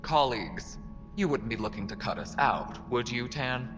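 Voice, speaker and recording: A man's voice: medium pitch, moderate pace, music in the background, natural voice. A man acting as an earnest, harried space station official in a video game, pressing his case. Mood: insistent. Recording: dull game audio, long reverb, faint noise.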